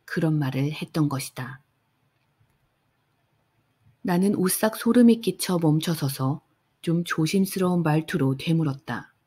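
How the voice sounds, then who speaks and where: A woman narrating a bedtime audiobook in a soft, unhurried way. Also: low-pitched, breathy edge. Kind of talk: calm bedtime audiobook reading.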